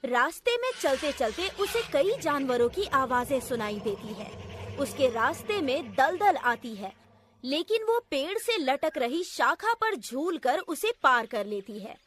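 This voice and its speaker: A woman's voice: sits high, fairly bright tone, a little hiss or hum. A woman narrating a scripted children's story as the storyteller.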